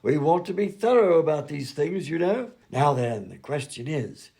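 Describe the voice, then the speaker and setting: A dotty inventor doctor in a radio comedy. His voice is fairly high and swings widely in pitch, with a creaky edge, and he rambles on politely, untroubled by anything. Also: sing-song, moderate pace, warm tone. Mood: breezy, fussy. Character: eccentric, absent-minded male mad doctor and inventor, breezily unconcerned. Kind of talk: comedy radio-play acting.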